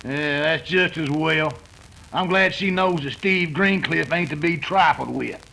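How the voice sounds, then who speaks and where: Gravelly, sharp-edged gloating western outlaw boss played by a man. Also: fairly high pitch, measured pace, heavily acted, poor audio. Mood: wary, commanding. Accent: American. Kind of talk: old-time radio western acting.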